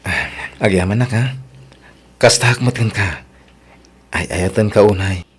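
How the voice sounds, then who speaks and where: A man playing a devoted, humble young fiancé in an audio drama, husky, with an Ilocano accent. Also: on the low side, unhurried. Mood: tender.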